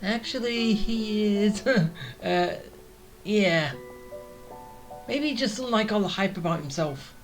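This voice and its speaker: A streamer gives casual gameplay commentary in a medium-pitched voice. The talk rambles as they think aloud, with faint game music underneath. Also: animated, slow, rough recording. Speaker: gaming streamer.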